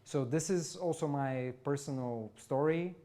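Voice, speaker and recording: A man from Bulgaria addresses a live audience as panel moderator. His voice is dark and mellow, and his delivery is earnest and measured, dotted with filler pauses. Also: fairly high pitch. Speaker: event moderator, e-resident community board member. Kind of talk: panel event remarks. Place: event venue, live audience.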